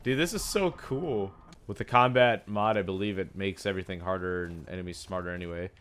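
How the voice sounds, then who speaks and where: A young man with a raspy, sore-sounding voice, casually commenting on his gameplay, often breaking into excited yelps between low mumbles. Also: brisk, a little hiss or hum. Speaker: gaming YouTuber.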